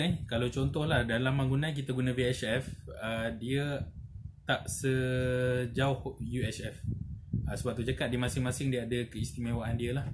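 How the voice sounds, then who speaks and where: A man's voice: medium pitch, a little hiss or hum. A man with a Malaysian accent giving a casual, explanatory product review, often adding little checking tags. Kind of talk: casual unboxing and review talk.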